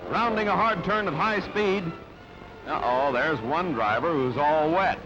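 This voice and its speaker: High-pitched man narrating a newsreel over loud music. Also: expressive, measured pace. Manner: clipped headline phrasing, dramatic announcer delivery. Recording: dull band-limited newsreel audio, loud music.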